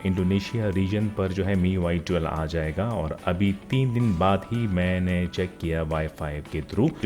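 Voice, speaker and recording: A man's voice: sits low, a little mellow. A man giving an informal tech walkthrough as a vlogger, mixing English words and phrases into his speech. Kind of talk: informal phone update walkthrough narration.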